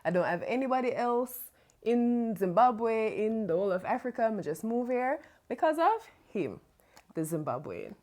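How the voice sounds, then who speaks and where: A woman's voice: fairly high pitch, very animated, moderate pace, a little mellow. A Jamaican woman co-hosting a casual chat, talking freely and laughing easily. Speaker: co-host.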